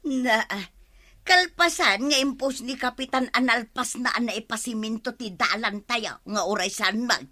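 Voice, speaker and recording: A woman's voice: fairly high pitch, moderate pace, pushed and bright, dry recording, studio quality. A woman with an Ilocano accent playing a suspicious, complaining village neighbour in a radio comedy drama. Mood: suspicious, grumbling.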